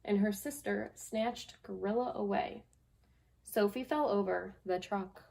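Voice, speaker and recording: Woman teacher with mid-pitched voice. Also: measured pace, gravelly, mellow. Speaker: teacher and guest story reader. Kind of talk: casual talk and picture-book read-aloud for children. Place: basement.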